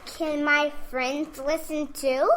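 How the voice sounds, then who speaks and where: Bright, high-pitched feisty little girl. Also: level tone, slow, a little hiss or hum. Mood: cheeky, eager. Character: feisty eight-year-old girl, sassy and excitable. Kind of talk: acted children's audio story.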